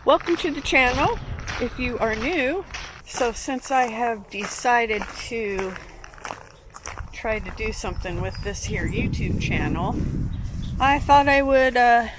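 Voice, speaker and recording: A woman in her fifties from Ohio, chatting casually to camera while walking her dogs on a nature trail, with many ums and restarts. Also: mid-range voice. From Ohio, USA. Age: 50s. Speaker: channel creator. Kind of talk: casual vlog talk to camera. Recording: outdoor recording with clear background noise.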